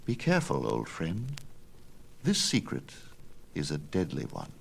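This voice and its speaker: A man voicing a scheming, flattering queen, coaxing and confidential, in a dramatic storybook reading. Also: very deep voice, a little slow, gravelly, slight background noise, heavily acted. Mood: hushed warning.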